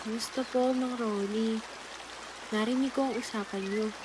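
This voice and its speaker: A woman's voice: fairly high pitch, fairly even intonation, a little slow, background noise, natural voice. A woman playing a careful, polite scientist who helps a village, in an amateur radio drama. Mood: earnest, analytical. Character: earnest, careful female scientist helping a village.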